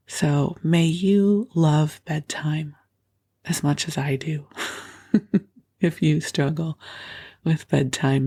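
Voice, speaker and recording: A woman hosting a bedtime meditation with a froggy morning voice, speaking softly and gently and often dropping to a whisper. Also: deep voice, creaky, breathy edge. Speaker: podcast host. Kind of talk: soothing bedtime meditation and prayer.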